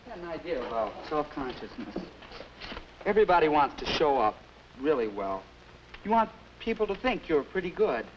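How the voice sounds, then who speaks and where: On a faintly noisy, clicking 1950s soundtrack, a shy American schoolboy. He talks in a fairly high, very animated voice, earnest and a little hesitant. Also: normal speed. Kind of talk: 1950s educational film acting.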